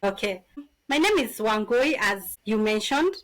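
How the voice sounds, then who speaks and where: A woman in her thirties from Kenya with a Kenyan accent, a podcast guest telling her story in an animated, casual way. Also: mid-range voice, unhurried. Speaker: podcast guest, business founder.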